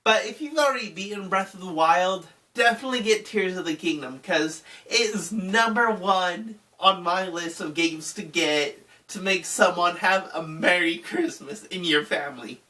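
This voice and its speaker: A woman's voice: fairly low pitch, moderate pace, few pauses, sharp tone, far from the mic. A young woman from Utah, congested from a cold, chatting casually about video games she recommends, rambling with lots of fillers. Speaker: gaming channel host.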